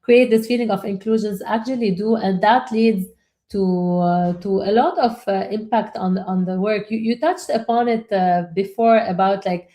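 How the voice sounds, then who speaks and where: A woman co-hosting a webinar, talking casually and haltingly, with frequent restarts and repeated words. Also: medium pitch, steady tone, warm tone. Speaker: webinar co-host.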